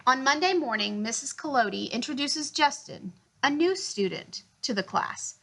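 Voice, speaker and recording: Bright-voiced woman reading a storybook. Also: high-pitched, lively intonation, moderate pace, creaky. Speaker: storyteller reading a picture book aloud. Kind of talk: expressive children's read-aloud.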